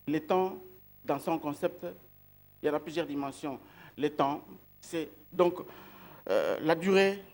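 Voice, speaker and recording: Male preacher, higher-pitched, measured and reflective, questions the congregation and repeats key words. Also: a little mellow. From outside Belgium. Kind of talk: sermon.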